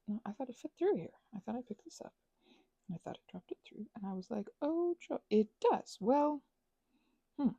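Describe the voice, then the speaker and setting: A woman's voice: fairly high pitch, very animated, normal speed, creaky, mellow, breathy edge, no room sound, dull audio. A woman chatting casually in an ASMR craft ramble, whimsically talking to herself and often drifting into whispers.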